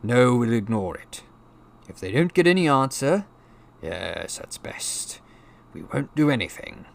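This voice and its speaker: A man voicing a blustering, bullying middle-aged uncle in an audiobook, angry and panicky, with a croaking, sneering delivery. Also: mid-range voice, very animated, a little slow, voice pushed up. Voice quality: croaking, forceful. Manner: sneering, snapping, roaring. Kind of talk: audiobook character voice.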